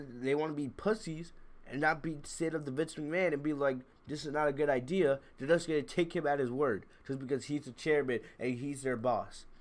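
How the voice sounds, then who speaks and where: A man with a congested, under-the-weather voice giving blunt, emphatic, opinionated commentary as a casual livestream host. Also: a little high. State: congested, sick with a cold. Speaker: wrestling review livestream host. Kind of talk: casual live commentary, chatting to viewers.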